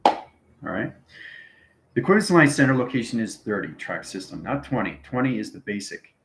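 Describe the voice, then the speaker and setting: A man with a fairly deep voice teaches informally to a live online audience. He circles back over his phrases and punctuates them with little check-ins like "okay" and "right." The sound is noticeably roomy and echoing. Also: very animated, normal speed, gravelly, a bit bright, a little hiss or hum. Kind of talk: informal live lesson to viewers.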